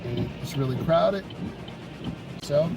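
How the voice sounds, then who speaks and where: A man, a driving instructor with a congested, stuffed-up nose, calmly narrating each move as he drives through traffic. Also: fairly high pitch, creaky. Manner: calm, unhurried, explains each move as he drives. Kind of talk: casual narration while driving. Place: inside a car in traffic. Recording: distant in-car mic over loud music. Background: loud music.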